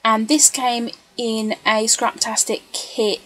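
Chatty woman with a higher-pitched voice. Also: flat delivery, slow pace, pushed and bright. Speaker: scrapbooker narrating craft video. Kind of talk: casual craft tutorial voice-over.

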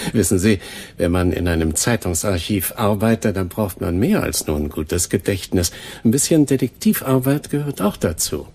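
An actor playing a chatty, self-satisfied newspaper archivist in a German radio crime drama, jovial and rambling. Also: male voice, deep voice, animated, normal speed, a little mellow, breathy edge, heavily acted. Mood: jovial, pleased.

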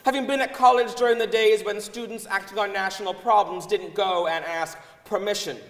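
Young man playing an animated college warden, high-pitched. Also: brisk, close to an everyday voice. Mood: amused, animated. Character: amused college warden recalling her years in office. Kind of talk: dramatic reading on stage. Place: large indoor concert hall stage. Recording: reverberant concert hall stage recording.

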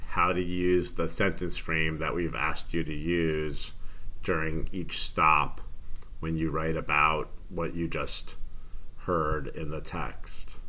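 A man explaining an assignment as a teacher, in a deliberate, careful manner. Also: a bass voice, pushed and bright. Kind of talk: instructional screen explanation for students.

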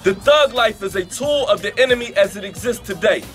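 A man's voice: high voice, lively intonation, brisk, a bit bright, soft background music. A man speaking and reading emphatically to a gathering outdoors, in the declamatory manner of a preacher. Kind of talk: impassioned public address and declamatory reading. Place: outdoors in a park by a statue. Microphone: camera a few metres away.

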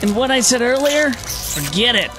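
Casting: actress as young, plucky survivor, slightly high voice, radio drama. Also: female voice, very animated, normal speed, over music. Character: plucky youngster survivor, worried but wisecracking. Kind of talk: radio horror-comedy acting.

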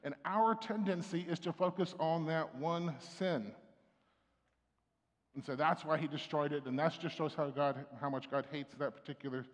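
A man's voice: fairly high pitch, normal speed. Middle-aged male pastor in a reverberant church. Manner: conversational preaching with frequent restarts and fillers. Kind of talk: sermon. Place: church sanctuary. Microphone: stand mic at pulpit, far camera.

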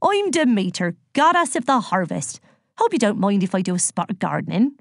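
A woman's voice: mid-range voice, big pitch swings, brisk, vocal fry, no echo, studio quality. A woman voicing a cheery, earthy harvest goddess in a children's storybook, with a breezy, friendly manner.

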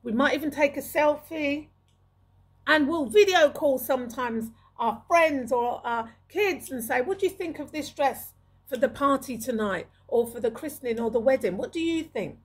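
Middle aged lady doing a straight-to-camera advice video, bit high and husky, really emphatic, close mic. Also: moderate pace. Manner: emphatic, repeats phrases for emphasis. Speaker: video host giving advice. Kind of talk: advisory talk to camera. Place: small indoor room. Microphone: large studio microphone with pop filter, close.

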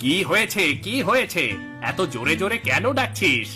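A man's voice: high-pitched, big pitch swings, moderate pace, music in the background. A man voicing a concerned village farmer, a loyal friend in a cartoon folk tale, exclaiming and questioning. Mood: surprised, curious.

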